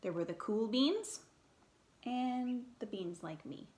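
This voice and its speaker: A woman reading a children's picture book aloud in an expressive, theatrical way, with dramatic pauses and emphasis. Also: a little high, reverberant, slight background noise.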